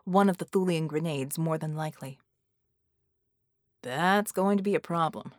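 A woman's voice: deep voice, normal speed, darkish tone, no room sound, studio quality. A woman reading an action story as an audiobook narrator, in a dramatic scripted style.

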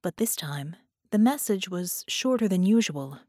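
A woman's voice: lowish voice, animated, measured pace, mellow, dry recording, clean studio sound. A woman reading scripted fiction as an audiobook narrator.

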